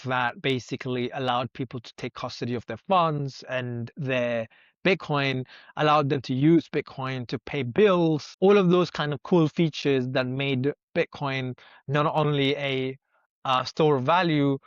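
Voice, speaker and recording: A young man from Sri Lanka, a native Sinhala speaker, talks as an interview guest at a middling pitch. He sounds earnest and reflective and often asks himself questions out loud. The recording is dry and a little dull, with a lo-fi edge. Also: fairly even intonation, unhurried, no room sound. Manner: earnest and reflective, thinks aloud with rhetorical questions. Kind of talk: conversational interview.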